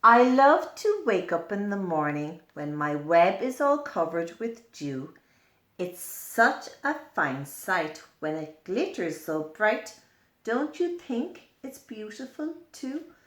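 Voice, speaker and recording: A woman's voice: mid-range voice, sing-song, slow pace, echoey room. Woman voicing sing-song, cheerful little storybook bug. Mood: delighted. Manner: sing-song rhyming, emphatic. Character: cheerful little garden minibeasts boasting about themselves. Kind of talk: picture-book character read-aloud.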